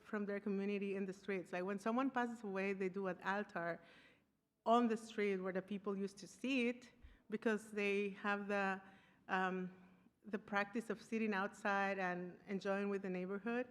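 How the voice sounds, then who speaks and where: A woman's voice: medium pitch, fairly even intonation, normal speed. Woman from costa rica giving a talk, restarts a lot, hunts for words, echoey hall sound. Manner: searching for words, frequent restarts and fillers. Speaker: conference speaker. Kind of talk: conference presentation. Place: conference room. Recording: live talk with long hall reverb.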